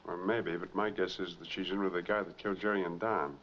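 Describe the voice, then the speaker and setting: A hunted, determined American man in a 1940s film noir. His voice is low and his phrasing clipped and hard-boiled. The soundtrack is muffled and plainly noisy. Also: fairly even intonation, a little fast, fairly bright tone. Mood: guarded, reasoning.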